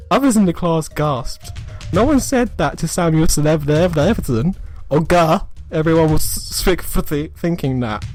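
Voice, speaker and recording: A man's voice: fairly high pitch, a little mellow. A man reading an amateur story aloud as a comic dramatic reading, mock-serious, drawing out phrases and stumbling over words. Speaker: reader of amateur fan fiction.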